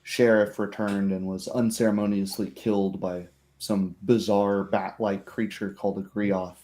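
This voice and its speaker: A man with a low, very mellow voice narrates a fantasy roleplay as game master. He tells it dramatically, in measured phrases with pauses. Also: level tone.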